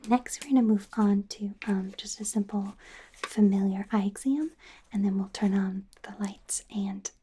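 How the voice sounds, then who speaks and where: A woman playing a friendly, self-correcting werewolf doctor in an ASMR roleplay, speaking in a soft, gentle, hesitant whisper. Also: medium pitch, steady tone, unhurried, slight background noise, close to an everyday voice. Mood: gentle, attentive.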